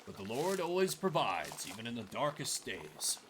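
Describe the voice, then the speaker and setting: A man voicing a kindly, teasing former monk and devoted husband, playful and unhurried, in a game playthrough. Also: medium pitch, wildly swooping pitch, noisy background. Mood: reflective.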